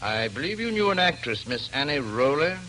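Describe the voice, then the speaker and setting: Composed police inspector, bright forceful voice, formal and courteous, slightly noisy vintage radio drama. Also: male voice, medium pitch, sing-song, brisk. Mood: formal, grave. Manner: formal, matter-of-fact. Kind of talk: 1940s radio drama acting. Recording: noisy old 1940s radio broadcast.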